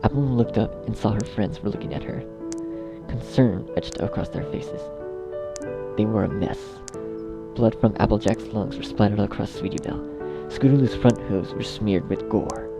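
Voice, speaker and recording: A man's voice: lowish voice, normal speed, over loud music. A man narrating a scripted horror story.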